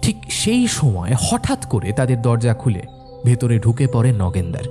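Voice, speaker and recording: A male narrator reads a scripted horror story. His voice sits at a medium pitch, and music plays clearly underneath.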